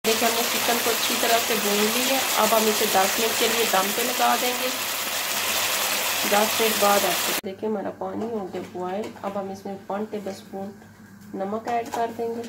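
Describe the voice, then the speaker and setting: A woman from Pakistan hosting a cooking channel, talking through a recipe step by step in a plain, even instructional tone. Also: mid-range voice, moderate pace. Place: home kitchen. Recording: loud kitchen noise, frying and stirring. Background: sizzling and stirring in a pan.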